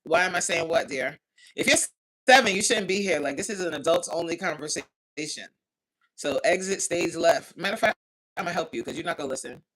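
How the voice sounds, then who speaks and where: A woman hosting a casual livestream, reading chat aloud, animated and outspoken, exclaiming often and laughing as she talks. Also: fairly low pitch, a little fast. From United States.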